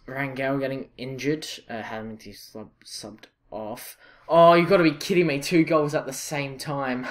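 A young man with a Scottish accent talks over his football game footage in a loose, chatty way. He fills gaps with ums and uhs and corrects himself mid-sentence, and his voice jumps up when things go well. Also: medium pitch. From Scotland. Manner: chatty, excitable, many fillers and self-corrections. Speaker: gaming channel host. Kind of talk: casual gameplay commentary.

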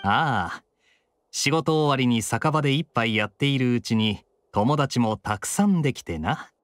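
Actor doing a young knight captain, suave, unhurried, expressive intonation, anime game style. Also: male voice, medium pitch, a little hiss or hum, lightly acted. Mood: relaxed.

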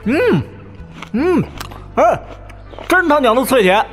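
A man's voice: extremely high pitch, very animated, fairly bright tone, soft background music, heavily acted. An actor playing a famished, cheeky young nobleman in an audio drama, grumbling and exclaiming irritably. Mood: eager, exclaiming. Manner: exclamatory, grumbling, drawn-out complaining. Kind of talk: Chinese audio drama acting.

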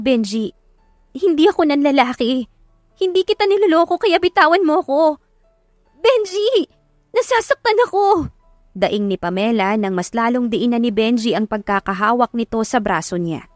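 A woman's voice: mid-range voice, no echo, studio quality. A woman narrator reading a scripted, dramatic love story as an audiobook.

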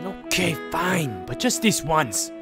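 A man's voice: on the high side, very animated, slow, creaky, over music, full character voice. A man voicing a flustered, fussy and indignant young man in a comic dub. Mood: reluctant. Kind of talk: cartoon comic dub acting.

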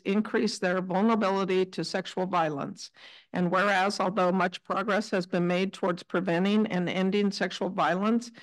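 A woman serving as mayor, chairing a city council meeting and reading a resolution in a measured, even way. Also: mid-range voice, low-quality recording. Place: council chambers.

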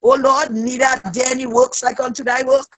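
A woman leading a fervent, extemporaneous church prayer, pleading with repeated invocations and a raspy voice that swells into loud cries. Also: sits high, flat delivery, fairly quick, low-quality recording.

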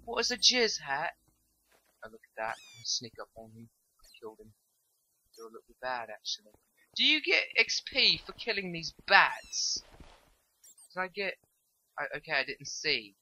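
Bright-voiced rambling, profane young man. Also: fairly high pitch, big pitch swings, moderate pace, long pauses, a little hiss or hum, poor audio. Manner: rambling, profane, loses his train of thought. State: tired and stoned. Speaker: gameplay commentator. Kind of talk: casual let's-play commentary.

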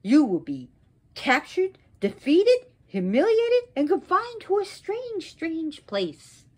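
Imperious, indignant goddess of myth, performed by a female storyteller; high, emphatic, deliberate. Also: lively intonation, dark tone, slightly rough, heavily acted. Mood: wrathful cursing. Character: furious, imperious wronged goddess pronouncing curses. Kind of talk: oral mythological storytelling.

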